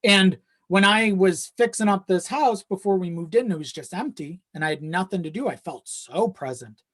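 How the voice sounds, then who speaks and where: A man's voice: sits high, lively intonation, moderate pace, a bit bright. A man chatting casually as a podcast guest, often checking in with the listener as he goes. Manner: conversational, frequent you-know check-ins.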